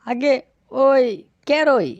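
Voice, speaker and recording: Woman playing a high-voiced sly cartoon fox trader. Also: drawn-out words, a little mellow, dry recording, muffled recording. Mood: fawning, evasive. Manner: fawning, sly, evasive. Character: male fox cattle seller, wily, obsequious village husband. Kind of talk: cartoon dialogue.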